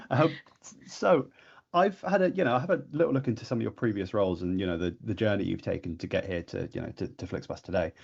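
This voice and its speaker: A man's voice: on the low side, fairly quick, vocal fry, breathy edge, lo-fi sound. A man hosting a podcast interview, talking hesitantly with many fillers and restarts, in a small room. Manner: hesitant, lots of "you know" and restarts. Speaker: podcast host.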